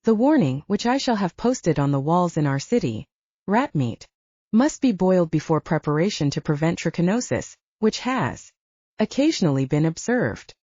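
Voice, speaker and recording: Woman voicing a pompous, animated gentleman scientist. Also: on the low side, moderate pace, no echo. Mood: pompous, enthusiastic. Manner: oratorical, pompous. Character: grandiose, effusive male scientist and gourmet orator. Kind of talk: audiobook character voice. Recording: dull, muffled dry audio.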